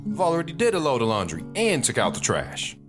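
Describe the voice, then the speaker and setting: A man's voice: medium pitch, wildly swooping pitch, a little fast, music in the background. A man playing a caring military husband and father at home in an audio drama.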